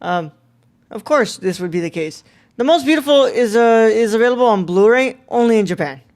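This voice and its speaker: A man's voice: very high-pitched, lively intonation, fairly quick, a little mellow. A man co-hosting a film podcast, talking casually and informally. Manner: informal, mild swearing. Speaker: podcast co-host.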